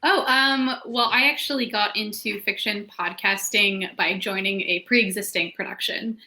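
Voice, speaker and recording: Female writer-panelist. Bright, crisp-edged tone at average pitch, relaxed conversational phrasing. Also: moderate pace, poor audio. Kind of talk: casual panel talk.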